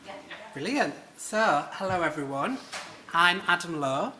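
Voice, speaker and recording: A man with a Yorkshire accent speaking into a stage mic, his voice bright and forward. The theatre lends the sound a roomy echo with a faint hum of room noise behind. He talks in a chatty, self-deprecating way, filling gaps with ums. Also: high-pitched, expressive, unhurried. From Leeds, England. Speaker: panel moderator. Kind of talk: live panel introductions. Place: theatre stage before an audience. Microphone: stage microphone.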